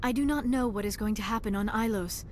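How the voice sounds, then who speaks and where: A woman's voice: a little high, quick pace, darkish tone, slight background noise, natural voice. A woman acting as an earnest young female alien scientist in a video game, speaking in formal, careful phrases. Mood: tender, anxious.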